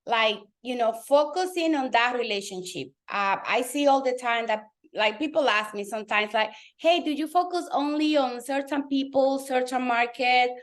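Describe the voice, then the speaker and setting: A woman's voice: sits high, moderate pace, few pauses. Dominican woman with a Spanish accent. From Dominican Republic. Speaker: guest real estate team leader. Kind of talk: casual webinar conversation.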